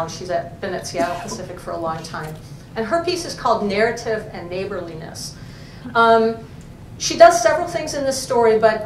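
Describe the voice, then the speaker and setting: A woman professor presenting a journal issue to a library audience, thoughtful, pausing with frequent ums as she explains terms. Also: medium pitch. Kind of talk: academic talk to a library audience. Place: library event room. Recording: echoey room, far mic, noisy.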